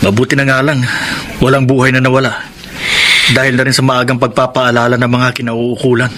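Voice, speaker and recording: A man's voice: medium pitch, monotone, brisk, fairly bright tone, slight background noise, close to an everyday voice. A man playing an earnest, conscientious neighbourhood rescuer in a radio drama, explaining things seriously. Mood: sober, regretful.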